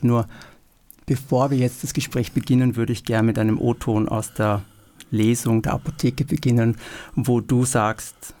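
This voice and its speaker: A man at mid pitch hosts a radio show from the studio. He reads his prepared moderation calmly and in measured phrases, with a few fillers when speaking freely. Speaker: radio host.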